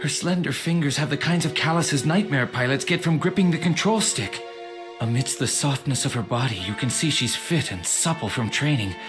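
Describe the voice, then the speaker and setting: An actor playing an earnest, awkward young rebel officer in an anime audio drama, with a hesitant, sincere, easily flustered delivery. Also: male voice, medium pitch, moderate pace, music in the background, natural voice. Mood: confiding, earnest. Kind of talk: anime dub audio drama acting, confiding monologue.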